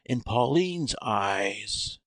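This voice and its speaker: Actor as slow, creaky American swindler prophet. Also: male voice, fairly low pitch, lively intonation, fairly bright tone, full character voice. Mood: indignant. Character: grandiose male cult prophet turned coarse, furious swindler. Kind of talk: dramatised audiobook acting.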